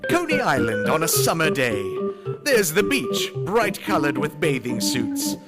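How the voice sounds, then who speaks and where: A man's voice: fairly high pitch, very animated, measured pace. A man narrating as a dramatic announcer in the style of old-time radio suspense. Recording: loud music under the voice.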